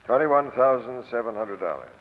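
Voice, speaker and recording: A tinny old radio broadcast carries a man acting as a gruff police captain. He is commanding and sly, firing off sarcastic slang at a middling pitch in 1940s crime drama style. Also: lively intonation, normal speed, close to an everyday voice. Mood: accusing. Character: brusque, skeptical, sly male police captain.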